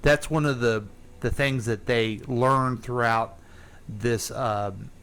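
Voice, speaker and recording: A man's voice: medium pitch, steady tone, slow, fairly bright tone, clean tone. A man hosting a radio interview as a casual chat, asking questions in an informal, inquisitive way.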